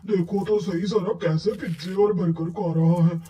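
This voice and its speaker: A man playing a fretful family man in a cartoon, grumbling in a husky, mellow voice. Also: sits high, steady tone, moderate pace. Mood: grumbling, amazed. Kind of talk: cartoon story dialogue.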